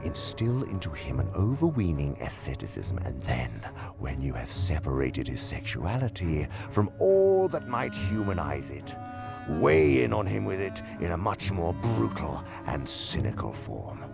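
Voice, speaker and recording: An imperious old-guard demon for audio theater. The voice is deep and creaky, with wide theatrical swings. He lectures slyly and pompously, relishing his words, over prominent music. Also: huge pitch swings, measured pace, heavily acted. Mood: cold scheming. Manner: pompous, theatrical, savoring words with dramatic emphasis. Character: senior male demon, sly, imperious mentor in temptation. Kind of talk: theatrical radio drama dialogue.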